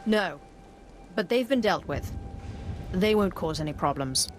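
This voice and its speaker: An actress playing a stern, formal Sith apprentice in a video game, controlled and imperious. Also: female voice, on the low side, lively intonation, unhurried, soft background music. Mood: composed. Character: stern female Sith apprentice, former slave. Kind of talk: video game dialogue acting.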